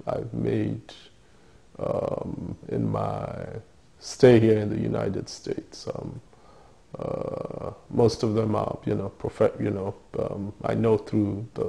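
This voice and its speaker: Man, middle-aged, dark timbre, hesitant, interview. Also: on the low side, gravelly. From Nigeria. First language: Yoruba. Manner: thoughtful, hesitant, many fillers and restarts. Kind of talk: oral history interview.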